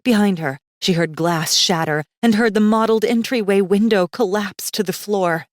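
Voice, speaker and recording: A woman's voice: mid-range voice, normal speed, dry recording, clean studio sound. A woman narrating a fantasy audiobook in a dramatic, scripted style with tense, suspenseful storytelling.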